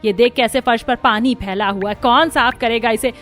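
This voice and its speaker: A woman voicing a scolding, scheming middle-aged mother-in-law in an animated moral story, with a nagging, melodramatic delivery. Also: on the high side, normal speed, soft background music, natural voice. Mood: angry scolding.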